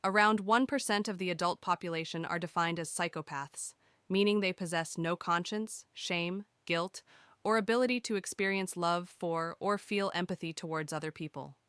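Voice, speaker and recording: Woman, very clear voice, scripted formal narration, measured delivery, dry close sound. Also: on the low side, fairly bright tone, no room sound. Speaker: narrator reading practice passages. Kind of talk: scripted read-aloud narration.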